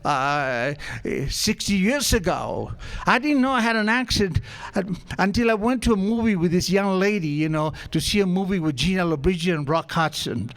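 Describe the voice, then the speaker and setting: An older man with a thick Argentine accent and a fairly high voice. He tells his story to a crowd in a loose, conversational way, poking fun at himself. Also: animated, moderate pace, a bit bright. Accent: Argentine Spanish. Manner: self-mocking, humorous, conversational. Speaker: recovery meeting speaker. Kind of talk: storytelling talk to an audience.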